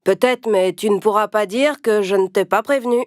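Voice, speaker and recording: A cautious older brother pig, voiced by a woman talks in a measured, admonishing way at a medium pitch. Loud music runs under this children's cartoon voice. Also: natural voice. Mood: warning.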